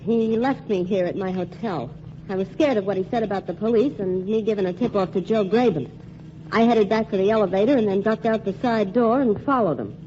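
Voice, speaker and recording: An actress playing a streetwise young nightclub singer in a 1940s radio drama, brassy and slangy. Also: female voice, medium pitch, a little fast, mellow, lightly acted. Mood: defensive. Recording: muffled 1940s radio broadcast with faint hiss.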